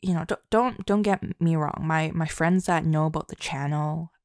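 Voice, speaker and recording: A woman talking casually and from the heart to her listeners, halting and self-deprecating, in an informal way. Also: low-pitched, no room sound, studio quality. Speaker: audio roleplay and ASMR creator.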